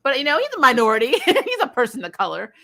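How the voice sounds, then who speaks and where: A woman hosting a casual, opinionated TV recap, talking in an animated, exasperated way with swearing and frequent laughter. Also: sits high, fast, creaky. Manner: acts out imagined dialogue. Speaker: host of a TV recap show. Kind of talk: casual opinionated review commentary.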